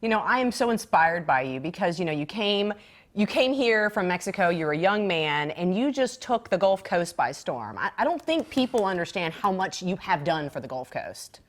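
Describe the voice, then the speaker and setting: A woman hosting an interview show, asking her questions in an enthusiastic and expressive way. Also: lowish voice, normal speed. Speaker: show host.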